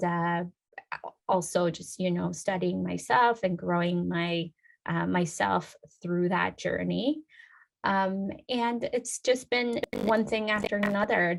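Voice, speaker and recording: A woman's voice: lowish voice, vocal fry. A woman in her thirties from Iran, a yoga and Ayurveda healer, speaking as an interview guest in a thoughtful, hesitant way with many fillers.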